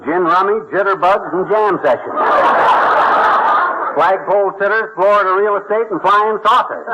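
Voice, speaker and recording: An actor playing a blustery, wisecracking, folksy middle-aged husband who lectures in long slangy tirades, in old-time radio comedy style. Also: male voice, high-pitched, moderate pace, lightly acted. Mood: blustery lecturing. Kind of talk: 1940s-50s radio comedy acting. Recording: noisy, muffled old radio recording.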